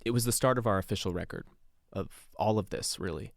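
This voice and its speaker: A radio journalist telling an island mystery as scripted audio documentary. His voice is very low and dry, close to the mic. He is sober and reflective, with a wry matter-of-fact edge. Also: fairly quick, gravelly, no room sound. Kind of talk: scripted first-person documentary narration.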